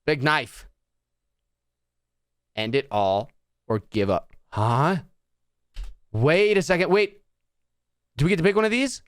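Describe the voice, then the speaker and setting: Male reaction streamer, informal and sweary, thinking aloud; smooth voice with animated swings, close dry sound. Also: fairly high pitch, takes it slowly, long pauses, no room sound. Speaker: livestream host. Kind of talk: casual reaction commentary.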